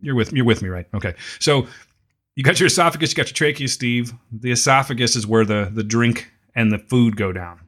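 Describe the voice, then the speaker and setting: A man co-hosts a podcast in a mid-range voice that sounds a bit scratchy and hoarse. He teases his co-host and explains things with mock authority in casual chat. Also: medium pitch, fairly quick, fairly bright tone.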